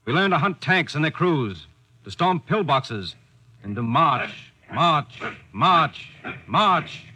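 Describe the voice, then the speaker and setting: A man's voice: a little high, big pitch swings, takes it slowly, sharp tone, full character voice. An actor playing an earnest, steadfast young commando, a man narrating in 1940s radio style. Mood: earnest, proud. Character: earnest, steadfast young commando soldier recalling his training.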